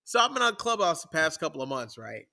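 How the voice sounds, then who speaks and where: A man's voice: on the high side, big pitch swings, fast, vocal fry, crowd noise. A man co-hosting a casual podcast, rambling through stories and opinions. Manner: rambling, emphatic storytelling. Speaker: podcast co-host. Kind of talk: casual crude banter.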